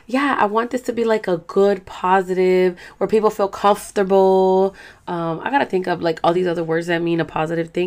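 A young adult woman with a Salvadoran accent, hosting a podcast in a chatty, slangy, rambling way with lots of fillers. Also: mid-range voice. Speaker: podcast host. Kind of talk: casual chat.

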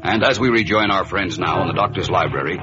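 A man's voice: deep voice, fast talker. An American man narrating a 1940s radio serial in a dramatic, suspenseful, declamatory style. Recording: muffled old radio broadcast recording. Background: loud music.

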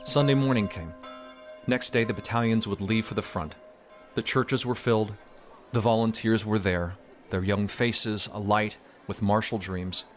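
A man's voice: lowish voice, steady tone, moderate pace. A man reading a literary story as narrator, in a dramatic audiobook style. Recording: music behind the voice. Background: background music.

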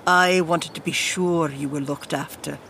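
Regal stern queen mum, lowish slow measured voice, fantasy audio drama, slight noise. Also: steady tone, creaky, fairly bright tone, full character voice. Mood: stern concern. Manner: formal, measured, commanding. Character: stern regal mother, composed commanding queen.

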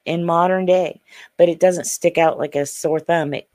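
A woman's voice: on the low side, steady tone, normal speed, mellow, no echo, clean studio sound. A woman guest on a podcast who speaks earnestly and insistently in casual conversation.

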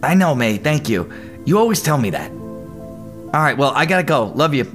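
An actor playing an earnest, awkward young college student in an audio drama, casual and hesitant in manner. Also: male voice, a little high, big pitch swings, moderate pace, fairly bright tone, soft background music. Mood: affectionate, teasing. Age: young adult.

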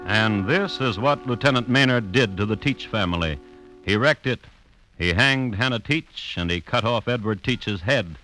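An actor playing a dry, deadpan crime chronicler narrating a 1950s radio show with measured storytelling. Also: male voice, on the low side, soft background music, poor audio. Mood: droll, deadpan. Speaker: host and narrator. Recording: hissy old radio broadcast.

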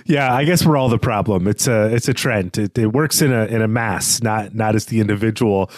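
A man co-hosting a podcast, discussing literature casually in a tentative, halting, self-effacing way. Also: on the low side, no room sound, studio quality. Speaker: podcast co-host.

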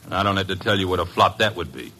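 Blunt, forceful radio tough guy. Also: low-pitched, animated, quick pace, full character voice. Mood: scornful. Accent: American. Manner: streetwise, blunt, slangy. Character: blunt, slangy tough guy, hot-tempered male murder witness. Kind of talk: old-time radio crime drama acting.

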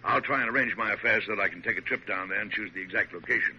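An actor playing a genial, bumbling yet dignified middle-aged president in old-time radio drama, animated and given to repeating phrases for emphasis. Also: male voice, fairly low pitch, very fast, close to an everyday voice. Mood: pleased, brisk. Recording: dull, noisy vintage radio recording.

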